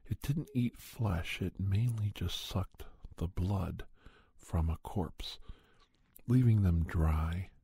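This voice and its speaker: A man playing a grave paranormal investigator telling his tales in ASMR style, husky and near-whispering, with deliberate, halting phrases. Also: deep voice, warm tone, no echo, heavily acted. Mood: ominous. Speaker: storyteller, podcast character host. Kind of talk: ASMR scripted horror storytelling.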